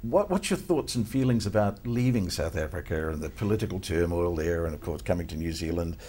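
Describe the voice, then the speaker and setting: A woman hosting an interview, asking slow, halting questions with many fillers. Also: very deep voice, expressive, dark tone, slight background noise. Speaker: show host, interviewer.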